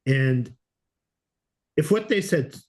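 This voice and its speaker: A man's voice: on the high side, expressive, slow pace. A man hosting a podcast interview in a casual, conversational way.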